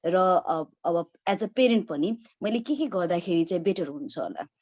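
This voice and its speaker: A woman with a Nepali accent asking a question as an audience member, polite and halting. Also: lowish voice, lively intonation, darkish tone. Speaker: audience questioner, parent and teacher.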